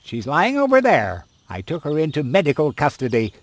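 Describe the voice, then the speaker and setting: An actor playing a brisk, officious town doctor in an animated game, with a matter-of-fact, authoritative manner. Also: male voice, fairly high pitch, dry recording.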